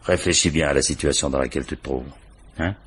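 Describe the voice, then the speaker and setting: Very deep-voiced, pushy police commissaire. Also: male voice, fast talker, husky. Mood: pressing, bargaining. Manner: colloquial, pressing interrogator. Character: gruff, pushy male police commissaire, world-weary and sly. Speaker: radio drama actor. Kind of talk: 1950s French radio drama acting.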